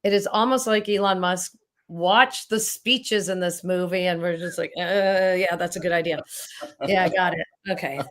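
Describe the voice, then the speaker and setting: A woman's voice: lowish voice, steady tone, moderate pace. A woman co-hosting a show as a guest, chatting casually. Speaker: guest co-host. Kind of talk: casual chat.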